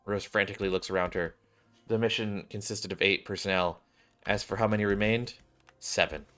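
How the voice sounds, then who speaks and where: A man's voice: on the low side, fairly even intonation, brisk, pushed and bright. A man streaming a game, reading cutscenes aloud and adding casual commentary.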